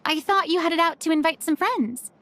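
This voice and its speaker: An actress playing a bubbly, theatrical young hostess in a game, effusive and playful. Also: female voice, extremely high pitch, moderate pace, sharp tone, a little hiss or hum. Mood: delighted. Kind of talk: animated game voice acting.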